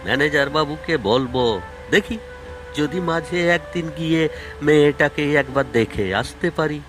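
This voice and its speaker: A man's voice: a little high, normal speed, a bit bright, soft background music, close to an everyday voice. Man acting a worried, humble cartoon labourer. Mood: worried, resigned. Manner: plaintive, folksy.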